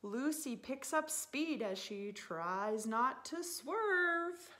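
A woman in her thirties, a teacher reading a picture book aloud to young children in an expressive, animated manner with drawn-out words. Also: high-pitched. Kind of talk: expressive children's story time read-aloud. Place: living room, indoors on a sofa.

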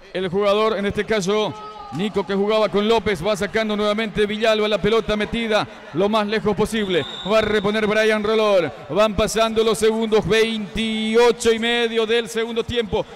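A man with a Paraguayan Spanish accent calling a youth futsal match live, with quick, excited play-by-play that climbs at scoring chances. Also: high voice. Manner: rapid, excited calls that rise near goal chances. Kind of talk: live sports commentary.

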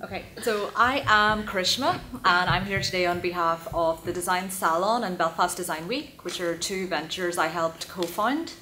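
Low-voiced woman speaking on a panel. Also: moderate pace, hardly any pauses, slight background noise, poor audio. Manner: fluent, steady, explanatory. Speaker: panel speaker, design event founder. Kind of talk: conference panel talk. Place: conference stage, large hall.

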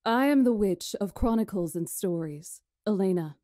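A composed young witch of legends, played by a woman, speaks in a mellow, dry-recorded voice. She is dignified and measured, like a formal storyteller in a visual novel. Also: medium pitch, animated, dry recording, natural voice. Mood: commanding.

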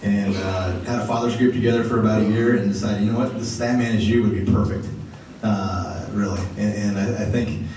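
A man with a somewhat low, warm voice addresses a room full of men, pausing often with fillers. He is heard from across a large meeting room, with strong echo and faint room noise. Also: fairly even intonation. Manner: halting, many fillers. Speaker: group organizer introducing speakers. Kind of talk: casual talk to a men's group. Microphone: far camera. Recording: echoey room from far off.

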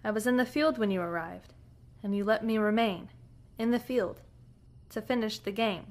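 A woman's voice: mid-range voice, normal speed, darkish tone, natural voice. A woman playing a headstrong young lady from a rich family, speaking formally and insistently in a western audio drama. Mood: assured. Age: young adult.

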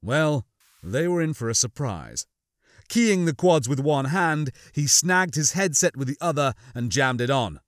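A man's voice: on the high side, sing-song, measured pace, no echo, studio quality. A man giving a dramatic scripted narration of a science fiction audiobook.

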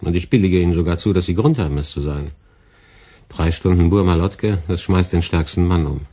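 Deep, dry, sardonic department head. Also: male voice, dark tone, husky. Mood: dry, reproving. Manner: ironic, measured, schoolmasterly. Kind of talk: German radio play acting.

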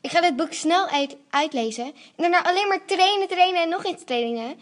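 A woman's voice: extremely high pitch, lively intonation, brisk. A woman playing a determined, earnest young princess in an amateur radio play. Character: young princess, determined and devoted to her family.

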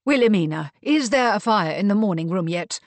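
A woman's voice: medium pitch, animated, brisk, no room sound, lightly acted. Woman playing an imperious, scolding matriarch. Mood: exasperated. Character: commanding, exasperated matriarch with a walking stick.

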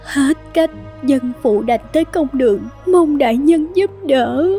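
An anxious commoner wife in a cartoon folk tale. Her voice is high yet mellow and humbly pleading, with quiet music underneath. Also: lively intonation, unhurried. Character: worried, humble village wife pleading with an official. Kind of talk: animated folk tale dubbing.

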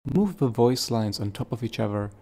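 Male tutorial narrator, gravelly edge, reading step-by-step instructions from a script. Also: mid-range voice, lively intonation, normal speed, dark tone.

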